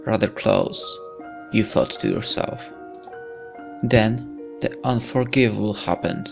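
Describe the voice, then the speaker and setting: A man's voice: lowish voice, gravelly. A man reading a visual novel aloud as narration, breaking into casual commentary as a let's player.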